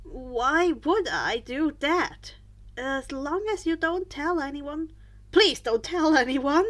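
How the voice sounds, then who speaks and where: A woman voicing an anxious, awkward teenage boy with halting replies in a story reading. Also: high voice, animated, a bit bright, exaggerated voice. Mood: pleading. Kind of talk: podfic character voice.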